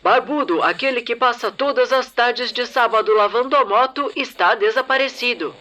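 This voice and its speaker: A woman's voice: on the high side, moderate pace, pushed and bright, slight background noise, voice pushed up. A woman playing a radio newsreader in an audio drama, announcing strange disappearances in an emphatic, formulaic way. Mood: ominous announcing. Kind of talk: dramatized radio news bulletin.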